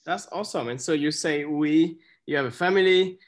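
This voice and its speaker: A man's voice: on the high side, moderate pace. A man hosting an interview, chatty and encouraging, with many fillers and restarts. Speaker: interview host. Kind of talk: casual interview.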